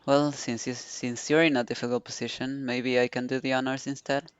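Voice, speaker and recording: A woman streaming a game, giving casual, low-key commentary in halting, muttered asides. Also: little pitch movement, clear, resonant tone, dull audio. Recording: faint game music behind the voice.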